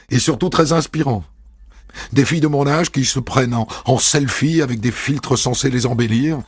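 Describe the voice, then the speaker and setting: A man telling a first-person story as a narrator, in a measured, intimate reading. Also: mid-range voice, breathy edge, dry recording. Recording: dry studio voice over soft music.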